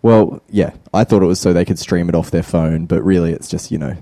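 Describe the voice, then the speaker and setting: A male podcast host chatting casually, halting with lots of fillers and easy laughs. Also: low-pitched, steady tone, no room sound. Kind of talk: casual radio chat. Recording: music playing loudly behind.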